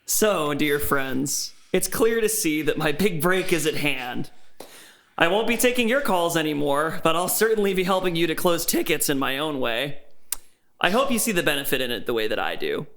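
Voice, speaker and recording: A man acts out a sinister, bitter office technician telling his own horror story. His voice is a little high and very animated, with theatrical, sardonic phrasing. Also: moderate pace. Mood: smug glee. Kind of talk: dramatic first-person story reading.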